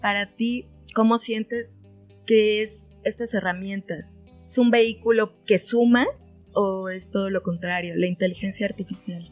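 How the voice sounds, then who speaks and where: A woman's voice: mid-range voice, steady tone, a little slow. A woman with a Mexican accent hosting a casual chat, interviewing in an informal, playful way. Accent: Mexican Spanish. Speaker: podcast host, interviewer. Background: soft plucked guitar music.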